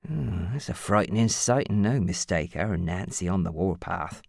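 A woman voicing a patient, easygoing old grandfather in an audiobook novel, wry and gently grumbling. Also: extremely low pitch, expressive, moderate pace, gravelly, no room sound, clean studio sound, pitched below the performer's own voice, full character voice. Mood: wry, resigned.

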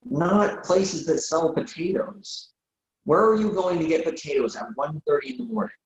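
A man's voice: a little high, lively intonation, normal speed. A man reading the part of a reasonable, exasperated friend in a late-night comic dialogue, hesitant and stammering in disbelief. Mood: incredulous. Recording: roomy, dull lo-fi sound.

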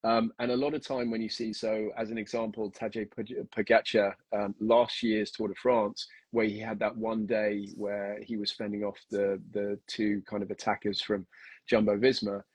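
A man's voice: on the low side, flat delivery, normal speed, husky, dry recording, poor audio. A man from Kenya with a mixed British and Australian accent, explaining things in a casual interview with many ums and restarts. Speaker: guest endurance coach.

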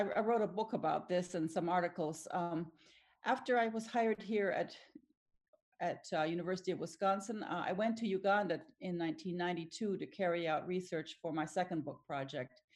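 A middle-aged woman whose first language is Finnish, answering interview questions thoughtfully with frequent restarts and small laughs. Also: mid-range voice. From Tanzania. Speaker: academic researcher guest.

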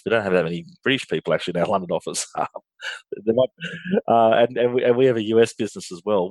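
A man's voice: deep voice, no room sound, low-quality recording. An Australian man, a guest in a business interview, explaining with frequent ums and restarts. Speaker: guest, finance outsourcing firm leader.

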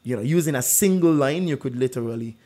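A man's voice: medium pitch, fast. A man hosting a casual true-or-false quiz, telling stories with lots of "like" fillers. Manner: animated storyteller, many "like" fillers. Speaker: quiz host.

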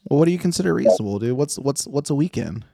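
A man's voice: medium pitch, expressive, racing, vocal fry, warm tone, dry recording, studio quality. Laughing, rambling man in his thirties. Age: 30s. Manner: rambling, laughing, swears freely. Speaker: podcast host. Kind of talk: casual chat about moped rallies.

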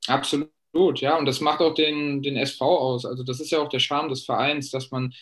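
Late-20s guy on a video call, pretty flat delivery, keeps restarting his sentences. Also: medium pitch, brisk. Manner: even, thoughtful, many fillers and restarts. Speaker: professional footballer. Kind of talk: answering fan questions in a video call.

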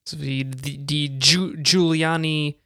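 A man with a somewhat high-pitched voice chats as a podcast co-host. He chimes in with informal remarks and questions. The recording is dry and free of room sound. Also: fairly even intonation, slow pace, fairly bright tone, dry recording. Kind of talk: casual chat with short interjections.

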